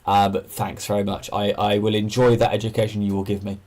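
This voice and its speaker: A man's voice: on the low side, flat delivery, fairly quick, darkish tone. A man hosting an amateur radio livestream, chatting casually and thinking aloud as he explains things and answers viewers.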